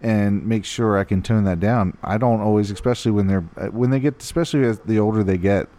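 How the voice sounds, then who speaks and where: Loud, rambling podcast dad. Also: male voice, lowish voice, fairly quick. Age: young adult or middle-aged, parent of a nine-year-old. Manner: loud, rambling, lots of "you know". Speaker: podcast co-host. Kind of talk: casual chat.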